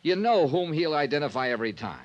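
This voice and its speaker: A man's voice: on the high side, very animated, fast, muffled recording. A man playing a wry, persistent insurance investigator, narrating and talking in clipped 1950s radio drama style. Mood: persuasive, insistent. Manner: punchy, clipped, conversational. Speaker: narrator and lead detective. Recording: old-time radio recording.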